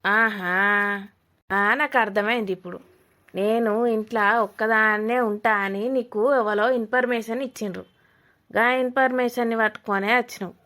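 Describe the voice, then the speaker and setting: A woman's voice: on the high side, steady tone, moderate pace, bright, forceful tone. A woman voicing a suspicious, scolding old village woman in a cartoon comedy, with a Telangana accent, blunt and mocking. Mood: suspicious, sarcastic. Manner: blunt, mocking, rambling accusations.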